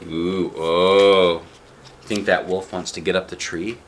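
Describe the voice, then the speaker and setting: A man reading a storybook aloud to a child, with dramatic drawn-out phrasing and casual asides. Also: deep voice, little pitch movement, a little hiss or hum. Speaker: dad reading a picture book to a child.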